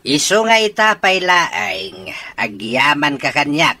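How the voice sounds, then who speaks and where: Scheming village woman, Ilocano accent, sharp-tongued, low female voice, slow and drawn-out, radio comedy. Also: sing-song, no room sound, heavily acted. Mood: bossy, scolding.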